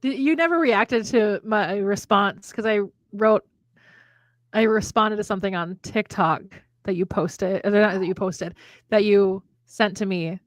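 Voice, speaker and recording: A woman's voice: medium pitch, measured pace, no room sound. An American woman, a podcast co-host, chatting casually and telling funny stories with frequent laughter. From United States. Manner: chatty storyteller, laughs often. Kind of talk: casual chat between friends.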